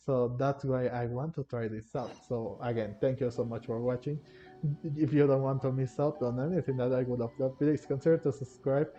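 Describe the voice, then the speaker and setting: A man commentates casually on his gameplay. His voice is dark and relaxed at a middle pitch, and he rambles haltingly, repeating words. The sound is somewhat muffled and lo-fi, with faint music behind. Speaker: gaming video creator. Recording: dull, lo-fi home microphone. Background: faint game music.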